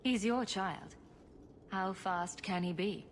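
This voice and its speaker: An actress playing a young rogue hero who talks in a dry, sarcastic way. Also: female voice, mid-range voice, takes it slowly, a little hiss or hum, close to an everyday voice.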